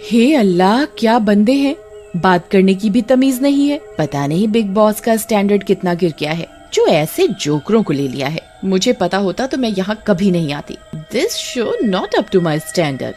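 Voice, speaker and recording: A woman's voice: mid-range voice, sing-song, a little slow, mellow, faint music behind. A woman voicing a snobbish young film heroine in a cartoon, haughty and easily outraged, dropping English phrases. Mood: haughty disdain. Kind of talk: Hindi cartoon voice acting.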